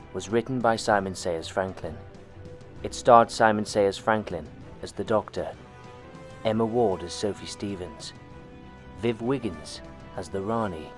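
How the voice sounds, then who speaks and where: Male credits announcer: lowish pitch, scripted read, soft music bed. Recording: faint music behind the voice.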